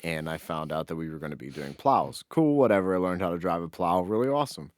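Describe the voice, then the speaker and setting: A man's voice: deep voice, expressive, fast talker, dry recording. A man co-hosting a podcast, telling anecdotes in an informal, conversational way. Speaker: podcast co-host. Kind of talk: casual chat, anecdotal storytelling.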